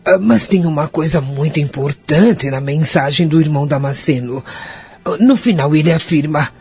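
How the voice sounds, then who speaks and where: A woman's voice: sits low, moderate pace, a little mellow, slightly rough, heavily acted. An actress playing a devout elderly Brazilian wife in a radio drama, earnest, motherly and consoling in a formal way. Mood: reassuring. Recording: dull lo-fi audio drama recording.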